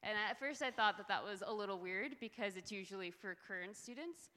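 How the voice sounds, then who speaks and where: A woman's voice: medium pitch, animated, fairly quick, pushed and bright. A woman who works in a campus ministry, earnestly telling a story in a speech that introduces the next speaker. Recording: long hall reverb.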